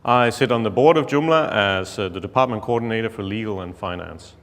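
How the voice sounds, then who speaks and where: Danish man giving a relaxed talk. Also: on the low side, a little fast. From Denmark. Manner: relaxed, hesitant, many fillers. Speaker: conference speaker. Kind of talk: casual conference talk. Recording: long hall reverb.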